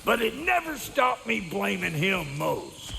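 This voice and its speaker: An actor playing a crude, gruff, wisecracking dwarf smith in a video game, with a gravelly, folksy, slangy drawl. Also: male voice, high-pitched, very animated, slow, sharp tone, faint music behind, heavily acted. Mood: earnest, blunt. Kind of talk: video game character acting.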